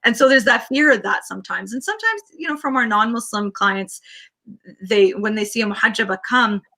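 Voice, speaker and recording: A woman with a Canadian accent, a counselor answering interview questions in an earnest, quick storytelling manner with frequent restarts. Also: fairly high pitch, animated, creaky, bright, forceful tone.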